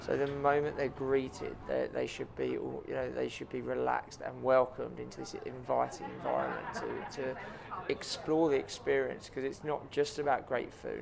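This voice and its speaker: A man's voice: mid-range voice, creaky, a little hiss or hum. A man, a chef and restaurant owner answering interview questions earnestly, with frequent ums and repeated words.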